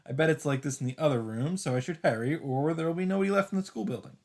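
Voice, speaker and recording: A man's voice: on the high side, fast, darkish tone. A man hosting a let's play, reading visual novel narration aloud and adding dramatic asides as he reacts.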